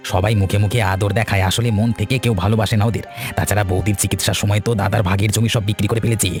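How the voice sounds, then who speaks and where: A man's voice: lowish voice, flat delivery, rapid-fire, over music, close to an everyday voice. A man playing a coaxing, slyly greedy village husband in a cartoon, speaking in colloquial, explanatory family talk. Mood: scheming. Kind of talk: cartoon dialogue.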